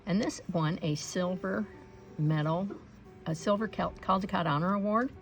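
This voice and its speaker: A woman reading a picture book aloud to children in an expressive storytelling style. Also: on the low side, moderate pace, faint music behind. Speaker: storybook read-aloud reader.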